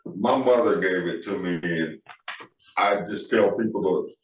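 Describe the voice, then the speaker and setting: Deep-voiced man, easygoing, echoey room. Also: a little slow, recorded from a distance, a little hiss or hum. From Jonesboro, Tennessee. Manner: relaxed storytelling, laughs easily. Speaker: panel guest. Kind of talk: casual panel conversation.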